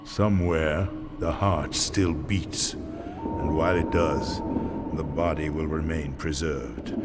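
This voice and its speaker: An actor playing an ancient, weary vampire swordsmith in a video game, solemn and portentous in delivery. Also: male voice, very deep voice, fairly even intonation, slow pace. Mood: grave, solemn. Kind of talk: dramatic video game cutscene acting. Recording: music behind the voice.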